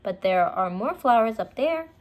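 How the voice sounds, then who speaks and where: Storybook little girl, played by a woman: eager, friendly, impulsive, pitched up from an adult register. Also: lively intonation, moderate pace, a little mellow, close to an everyday voice. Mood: earnest.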